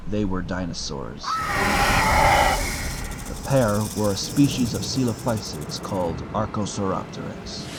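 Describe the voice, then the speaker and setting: A man with a fairly low voice reads scripted narration for an adventure audio drama. He tells the story as narrator, with very loud music running beneath his words.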